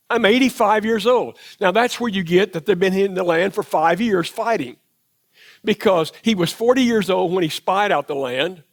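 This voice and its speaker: A man with a Southern US accent preaching a sermon in church, reflective and retelling scripture in his own words. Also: high-pitched. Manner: reflective, paraphrases scripture in first person. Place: church sanctuary.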